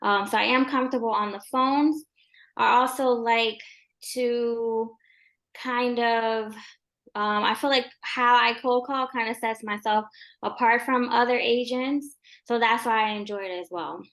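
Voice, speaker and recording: A soft-voiced woman talks as a guest in a relaxed interview, sitting a little high in pitch. Her speech rambles with many ums and likes as she explains her work. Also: a little slow. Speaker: real estate agent, guest. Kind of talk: casual interview.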